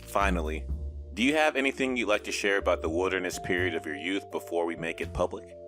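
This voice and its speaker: An actor playing a mild, polite, self-deprecating newspaper reporter with sly humour in an audio drama. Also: male voice, sits low, fast, a bit bright, soft background music.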